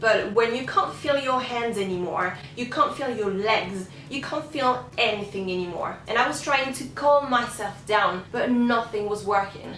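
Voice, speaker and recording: A woman's voice: a little high, fairly bright tone, slight background noise. A young woman and singer, speaking English as a second language, earnestly telling a personal story to her viewers. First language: not English. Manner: earnest, open and confessional. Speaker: singer and vlogger. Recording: roomy sound, slightly distant from the mic.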